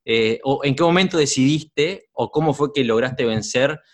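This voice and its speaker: A man's voice: medium pitch, moderate pace. A man hosting an interview, asking long winding questions that he restarts and reworks as he goes. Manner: rambling, restarts questions, voseo. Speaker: host.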